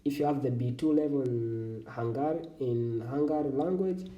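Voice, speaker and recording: A man from Tanzania whose first language is Swahili, hosting a walkthrough of a scholarship application form in halting, self-correcting English. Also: medium pitch, dark tone, echoey room, far from the mic, lo-fi sound. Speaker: scholarship tutorial host. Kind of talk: screen-recording walkthrough.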